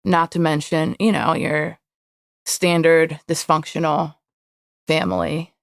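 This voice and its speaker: A woman's voice: low-pitched, slow, creaky, dry recording, clean studio sound. A young woman whose first language is Hebrew, a podcast guest talking candidly and reflectively about her past. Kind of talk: candid personal interview.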